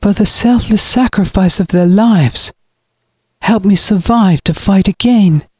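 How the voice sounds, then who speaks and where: A man's voice: high-pitched, measured pace, darkish tone, husky, no echo, clean studio sound, voice pushed up. A man voicing a cold, mocking, murderous brother who speaks with grandiose piety in an audiobook. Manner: grandiose, sanctimonious, taunting.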